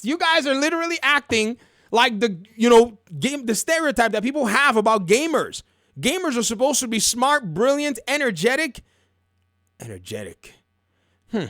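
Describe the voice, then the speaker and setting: A man's voice: very high voice, fairly bright tone, dry recording, studio quality. A man hosting casual, opinionated video game commentary, telling stories in a colloquial, emphatic way and repeating phrases for effect.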